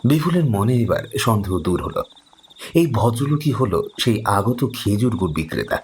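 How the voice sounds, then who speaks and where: A man's voice: fairly low pitch, very animated, moderate pace, a little mellow, slight background noise. A man narrating a scripted horror story in a grave, suspenseful manner. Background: background music.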